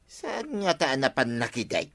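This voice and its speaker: An actor playing a scheming, wheedling village husband in an Ilocano radio comedy, argumentative and animated. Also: male voice, medium pitch, unhurried, vocal fry, heavily acted. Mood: argumentative, playful.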